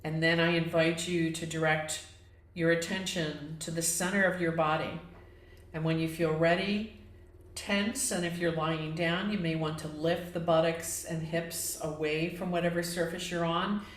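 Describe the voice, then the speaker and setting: A woman with a slightly low voice guides a meditation in an echoey room. She speaks as a yoga instructor, gently and in a measured way, inviting listeners along with each instruction. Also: steady tone.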